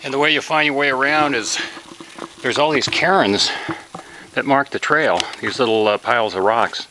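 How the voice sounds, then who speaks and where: Relaxed middle-aged man on a trail. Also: mid-range voice, moderate pace. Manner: relaxed, explanatory, fillers. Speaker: ham radio hiker. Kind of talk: casual outdoor narration. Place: outdoors on a desert canyon trail. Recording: noisy outdoor camera audio.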